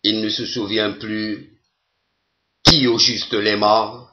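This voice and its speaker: Slow, even-toned man reciting poetry. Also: on the low side, lo-fi sound. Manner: measured, deliberate, with long pauses between phrases.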